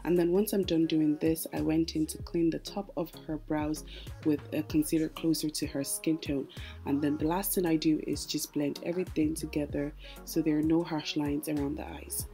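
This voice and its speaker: Low-pitched, mellow woman narrating a tutorial. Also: level tone, normal speed. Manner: chatty, explanatory, addresses viewers directly. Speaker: makeup tutorial host. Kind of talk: casual tutorial voice-over. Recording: music playing behind the voice. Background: background music.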